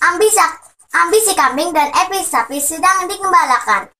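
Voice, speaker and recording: High-pitched young schoolgirl telling a story. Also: animated, normal speed, pushed and bright. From Indonesia. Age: child. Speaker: storytelling contestant. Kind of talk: scripted children's storytelling.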